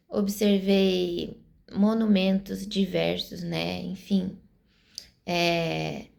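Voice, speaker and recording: Woman, 40s, Brazilian accent, gravelly fry, mid pitch, earnest explanatory vlog monologue. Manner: earnest, explanatory, thinks aloud as she goes. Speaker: vlogger. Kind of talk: opinion monologue to camera.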